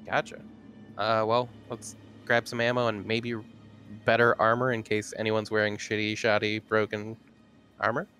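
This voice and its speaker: A man's voice: on the low side, level tone, normal speed, pushed and bright, faint music behind. A man chatting casually as a player in a tabletop roleplaying game.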